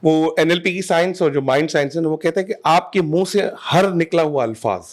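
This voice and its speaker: A man in his thirties, a podcast guest giving energetic motivational talk, mixing Hindi with English phrases. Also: a little high, brisk. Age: 30s. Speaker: podcast guest, sales trainer.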